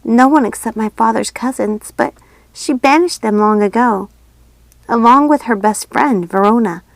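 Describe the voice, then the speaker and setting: A woman's voice: on the high side, moderate pace, no room sound, pitched above the performer's own voice, natural voice, poor audio. A woman voicing a frightened, sorrowful little princess girl with a pleading, tearful manner in a storybook reading. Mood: sad, worried.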